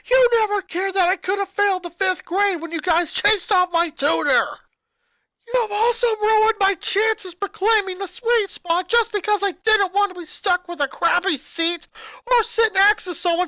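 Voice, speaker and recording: A man's voice: very high voice, no echo, exaggerated voice. A man voicing an angry eleven-year-old boy who rants and accuses his sisters in a fanfic reading. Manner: ranting, accusing, voice rising to shouts.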